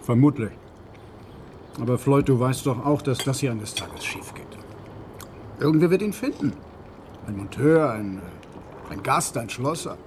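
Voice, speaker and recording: A man's voice: mid-range voice, expressive, a little slow, pauses often, background noise, lightly acted. An actor playing an anxious, doubting man in a radio drama, protesting with incredulity. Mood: worried. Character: anxious, doubting male actor, reluctant accomplice.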